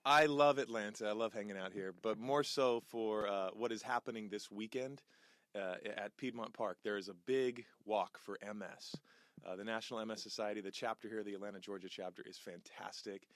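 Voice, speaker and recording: A man's voice: on the low side, fairly even intonation, brisk, fairly bright tone, dry recording, clean studio sound. A man speaking casually and earnestly in an interview, with an enthusiastic way of talking. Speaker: singer and charity event participant.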